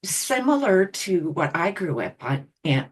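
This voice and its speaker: A woman hosting an interview, asking her guest questions in a measured, thoughtful way. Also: lowish voice, very animated, slightly rough. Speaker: podcast host.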